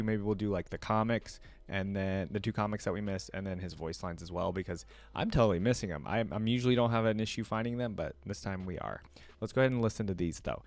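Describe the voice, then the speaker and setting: A man's voice: lowish voice, clean tone. A young man giving casual reaction commentary, thinking aloud and repeating lines he has just heard. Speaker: video reactor.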